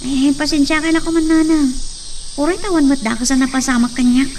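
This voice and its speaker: An actress playing an earnest young daughter in a local-language drama. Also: female voice, high-pitched, brisk, close to an everyday voice. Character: young woman, daughter returning home. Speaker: actor. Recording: distant mic, steady background noise.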